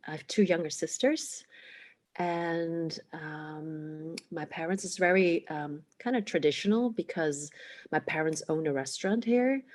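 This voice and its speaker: A woman from the Netherlands who grew up speaking Cantonese, answering interview questions reflectively with many hesitant "um" pauses. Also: low-pitched, darkish tone. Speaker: guest, emotional awareness coach.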